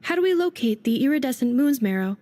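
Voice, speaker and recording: A woman's voice: sits high, expressive, fast talker, dark tone. An actress voicing an analytical young female android in a video game, speaking in clipped, precise, matter-of-fact phrases.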